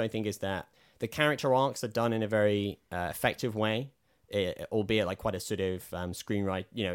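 A man with a lowish voice talks over a film in loose, hesitant chat. He reaches for his words, stacking up fillers and restarts, and his voice is slightly croaky. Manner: rambling, hesitant, filler-heavy. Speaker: podcast co-host. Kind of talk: casual film review chat.

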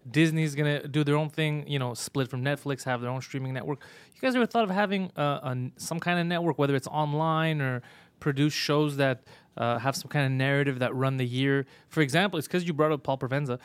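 A man's voice: on the high side, fairly quick, clean tone, no room sound. A man hosting a podcast, asking long, loose questions filled with "uh" and "you know". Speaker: podcast host, comedian. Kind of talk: casual podcast interview.

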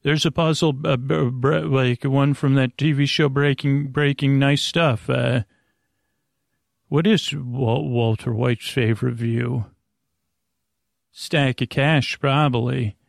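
Man, gravelly fry, sleep podcast host, improvised rambling, halting and tangential, dry close recording. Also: medium pitch, steady tone, dry recording. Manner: meandering, halting, drifts into tangents.

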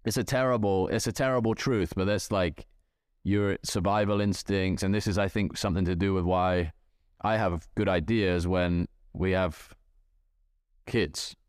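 A man's voice: low voice, steady tone, a little slow, clean tone, dry recording, clean studio sound. A man speaking as a podcast guest, reflective and thinking aloud with frequent hedges. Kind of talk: casual podcast chat.

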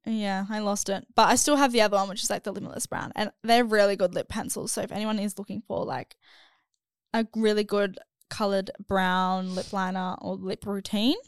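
A woman's voice: medium pitch, fairly even intonation, normal speed, smooth, no room sound, studio quality. A young woman co-hosting a podcast, chatting casually with a slightly nasal voice and frequent laughs. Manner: chatty, laughs often, trails off mid-sentence. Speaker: podcast co-host. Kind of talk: casual chat.

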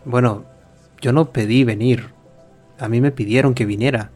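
An actor playing a wry, wounded wedding guest in an audio drama, sardonic and theatrical. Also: male voice, medium pitch, steady tone, normal speed, darkish tone, soft background music, lightly acted. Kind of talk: Spanish-language audio fiction acting.

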